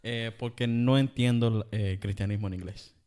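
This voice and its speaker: A man's voice: mid-range voice, steady tone, normal speed, darkish tone, clear, resonant tone. A man co-hosting a casual podcast, speaking in a plain, wry way. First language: Spanish. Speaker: podcast co-host. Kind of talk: casual chat.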